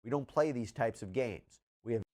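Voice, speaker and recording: A man presenting news and reading out statements with measured, deliberate emphasis. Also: medium pitch, dark tone. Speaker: news commentator.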